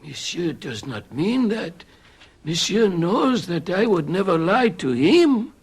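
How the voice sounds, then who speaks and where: A fawning French shopkeeper in an old radio play, with a high, breathy voice. He draws out his words slowly and lets his pitch swoop up and down. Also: big pitch swings, full character voice. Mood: sly. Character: obsequious French shopkeeper, older man. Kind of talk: 1970s radio drama acting.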